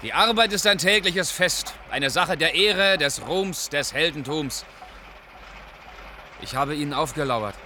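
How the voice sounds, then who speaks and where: A man's voice: a little high, unhurried, pushed and bright, a little hiss or hum. An actor playing a self-assured, argumentative male truck driver with an ironic, declaiming turn in radio drama dialogue. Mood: exuberant, ironic.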